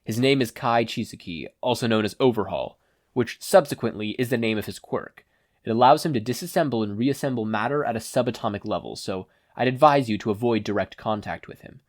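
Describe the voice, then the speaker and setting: A narrator voicing a composed villain man of mist with formal, measured speech for an audiobook. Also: mid-range voice, no room sound, studio quality, lightly acted.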